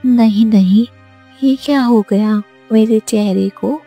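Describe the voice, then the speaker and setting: Woman playing a high-voiced haughty young princess. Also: takes it slowly, over music. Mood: pleading. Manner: self-important, dramatic. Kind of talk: cartoon storybook character voice.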